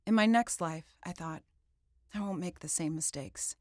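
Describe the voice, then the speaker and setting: Female audiobook narrator, lower register, dramatic and deliberate literary read, close dry sound. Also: no echo. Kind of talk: scripted first-person fiction narration.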